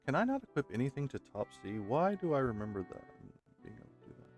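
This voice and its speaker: A man's voice: mid-range voice, sing-song, fast talker, a little mellow. A man casually chatting as he plays through a video game, rambling with lots of fillers and talking straight to his viewers. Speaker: gaming video host. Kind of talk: casual let's-play commentary. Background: game music.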